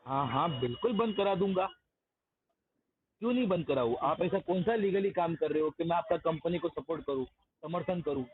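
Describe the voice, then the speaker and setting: A man's voice: fairly high pitch, expressive, normal speed. A man arguing over the phone in a boastful, assertive, challenging way. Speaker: activist confronting a loan agent. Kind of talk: argumentative phone conversation. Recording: narrowband phone call, faint noise.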